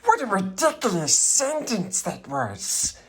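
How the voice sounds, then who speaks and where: A small wretched creature, reads a storybook in a raspy, strangled voice. The pitch lurches wildly, and the slow, hissing delivery turns fawning and peevish in muttered asides. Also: male voice, wildly swooping pitch, heavily acted. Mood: peevish muttering. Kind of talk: character reading of a children's storybook.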